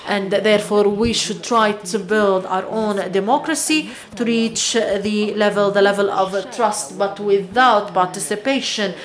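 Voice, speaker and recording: A woman giving simultaneous interpretation of a lecture, halting often with long hesitations. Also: mid-range voice. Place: lecture hall.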